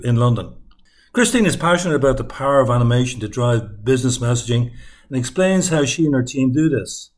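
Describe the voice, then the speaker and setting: A man with a mid-pitched voice hosts the show and reads a scripted introduction to his guest. His delivery is upbeat and smooth. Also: a little mellow. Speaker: podcast host.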